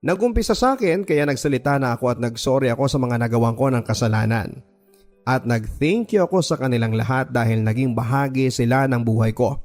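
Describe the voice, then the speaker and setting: A man's voice: medium pitch, animated, fairly quick, darkish tone. A man narrating a scripted first-person story in a dramatic radio style, with background music.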